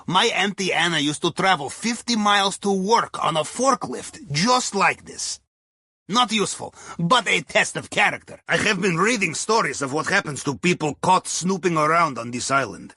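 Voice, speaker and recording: An actor playing a wry, chatty Russian heist planner in a video game, speaking accented broken English in a droll, folksy way. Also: male voice, high voice, expressive, normal speed, bright, forceful tone, breathy edge, dry recording, studio quality, exaggerated voice. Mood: wry amusement. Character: wry, jovial Russian submarine captain's heist planner, male. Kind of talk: video game mission dialogue over radio.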